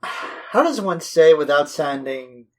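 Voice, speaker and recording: A man with a fairly high voice co-hosts a film podcast. He talks casually but emphatically, swearing freely and leaning into hyperbole. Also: very animated, takes it slowly. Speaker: podcast co-host.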